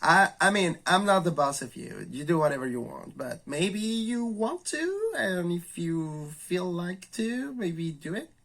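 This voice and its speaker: A man with a raspy, flu-hoarse voice hosts a candid, thoughtful educational monologue. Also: sits high, big pitch swings, fairly bright tone. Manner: thoughtful, candid, slow and searching. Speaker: video host.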